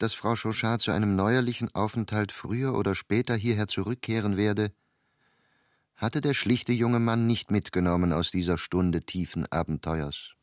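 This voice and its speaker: Low-voiced male radio play narrator. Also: no room sound, studio quality.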